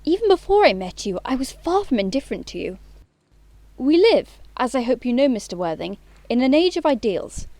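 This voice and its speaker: A woman's voice: high voice, very animated, a little fast, mellow, no room sound. A woman acting an imperious, romantic young Victorian society lady in an audio play, with precise, affected, self-assured speech. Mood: gushing, assured.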